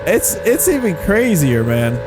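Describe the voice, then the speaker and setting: A man giving casual gameplay commentary in an excitable, slangy, rambling way, often repeating phrases as he thinks aloud. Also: high voice, unhurried, low-quality recording. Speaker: video game YouTuber and host. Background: background music.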